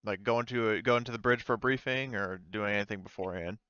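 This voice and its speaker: A man with a lowish, smooth voice acts as game master in a casual tabletop session. He narrates haltingly, thinking aloud as he goes. The sound is dry and slightly dulled, like a voice chat. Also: sharp tone, dry recording, highs cut off.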